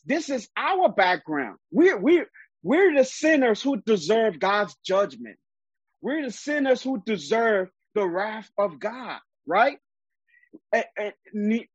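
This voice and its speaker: A man's voice: very high voice, husky, no room sound. A man from Liberia preaching a sermon, emphatic and often repeating words while he gathers his thoughts.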